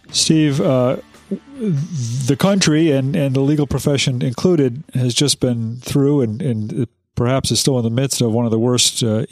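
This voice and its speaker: Male radio host with faint music. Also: medium pitch. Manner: hesitant, repeats words. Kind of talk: interview questions.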